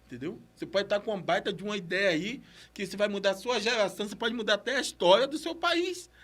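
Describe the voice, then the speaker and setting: A man's voice: high voice, animated, fast, a bit bright. A man with a Brazilian accent and a slight stammer, chatting casually and motivationally in a slangy, exhortative way on a podcast. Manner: slangy, exhortative, repeats himself. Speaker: podcast speaker on entrepreneurship.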